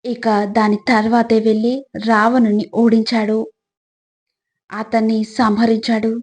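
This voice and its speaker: A woman reads scripted motivational reflections in a measured way, addressing the listener directly like a narrator of life lessons. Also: fairly high pitch, level tone, a little mellow, breathy edge.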